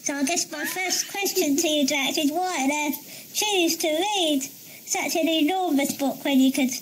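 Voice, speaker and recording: A woman in a casual conversation, asking questions as a guest with halting, hesitant phrasing. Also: high voice, slight background noise.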